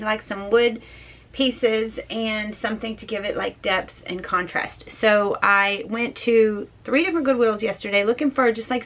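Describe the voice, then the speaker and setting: Woman, middle-aged, hoarse scratchy voice, worn out, soft rambling vlog chat, mid pitch. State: hoarse from mild laryngitis; tired and worn out. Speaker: home vlogger. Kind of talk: casual chatty vlog. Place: small closed pantry indoors.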